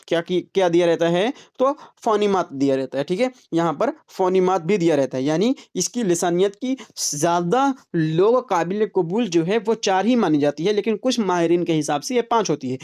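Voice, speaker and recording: A man's voice: sits high, a little mellow. A man with an Urdu-speaking Indian accent teaching an online exam-prep lecture. He repeats key terms, asks the class questions and answers them himself, and often checks in with the listeners. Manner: checks in often with "is it not?" and "all right".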